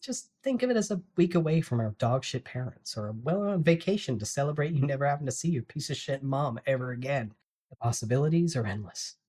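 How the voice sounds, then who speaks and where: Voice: mid-range voice, lively intonation, a little fast, darkish tone, close to an everyday voice. A narrator voicing a brash, playful young woman in a dramatic story reading, crude and breezy. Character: brash, teasing, generous big-sister type young woman.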